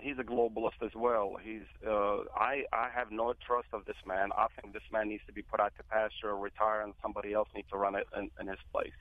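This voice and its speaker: Iraqi-born male guest on a call-in line, earnest and halting, phone-quality and dry. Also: on the low side, no room sound. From Iraq. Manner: earnest, repeats himself with many fillers. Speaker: guest speaker. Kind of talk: political talk radio interview.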